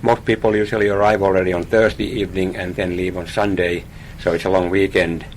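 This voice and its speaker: A man's voice: low voice, level tone, fairly quick, a little hiss or hum. A man from Finland, a guest in a radio interview, talking about his hobby with many small hesitations and repeated words.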